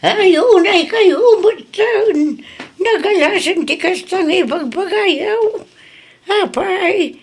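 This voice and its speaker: Actress as high-voiced, unhurried old grandmother. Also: animated, exaggerated voice. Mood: indignant, hurt. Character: elderly grandmother, protective, grateful, easily indignant. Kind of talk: Ilocano radio drama acting.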